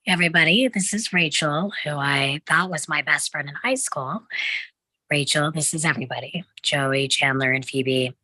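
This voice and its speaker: Woman playing a low, husky, sarcastic friend. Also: normal speed, pushed and bright, no room sound, low-quality recording. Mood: brisk. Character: snubbed old school friend, a sarcastic young woman. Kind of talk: sitcom table read.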